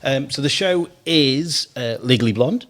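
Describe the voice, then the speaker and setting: A man's voice: medium pitch, measured pace, a bit bright. A man hosting a casual theatre podcast interview, chatty and encouraging, laughing easily. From Isle of Man. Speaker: podcast host.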